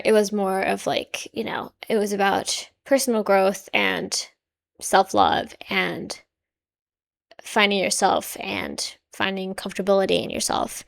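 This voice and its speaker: Soft-spoken woman musing aloud. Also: mid-range voice, gravelly. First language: Swedish. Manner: musing, hedging, soft-spoken with frequent quiet trailing asides. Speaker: reaction video host. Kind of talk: casual reaction commentary.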